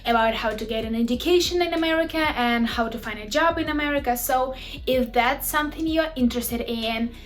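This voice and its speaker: A young woman from Ukraine speaks in a chatty, direct way, her Ukrainian accent clear. Her voice sits a little high. Small grammar slips show up now and then as she explains things to camera. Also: a little fast, soft background music. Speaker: vlogger.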